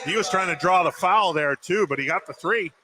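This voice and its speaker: A man's voice: high-pitched, fairly quick, pushed and bright. An American man giving informal, conversational color commentary on a live sports broadcast. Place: courtside in a basketball gym. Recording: some noise, gym ambience.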